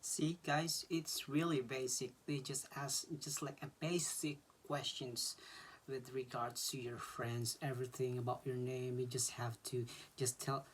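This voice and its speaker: A Filipino man in his thirties talks unhurriedly, straight to a camera close by in a small bedroom. His pitch sits in the middle, and he talks loosely, restarting phrases and filling gaps with "uh". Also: unhurried, a little hiss or hum. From Philippines. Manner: relaxed, repeats words and fills with uh. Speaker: vlogger explaining an exam. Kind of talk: casual advice vlog.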